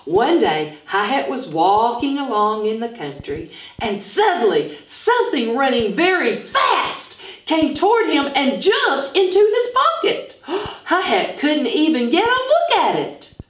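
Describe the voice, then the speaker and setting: Woman, middle-aged, children's teacher, animated storytelling, deliberate slow delivery, roomy classroom, distant mic. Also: sits high. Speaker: phonics teacher. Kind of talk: expressive storytelling and lesson for young children. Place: small classroom, indoors.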